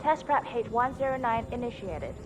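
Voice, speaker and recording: An actress playing a clinical, matter-of-fact lab assistant giving formal status reports in a video game. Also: female voice, fairly high pitch, animated, moderate pace, noisy background, natural voice.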